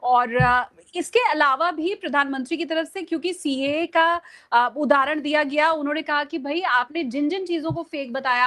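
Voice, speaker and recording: A woman's voice: high voice, a bit bright. A woman speaks as a journalist in discussion. She explains her points emphatically in a conversational commentary style, often repeating phrases to stress them. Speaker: journalist guest on a discussion show.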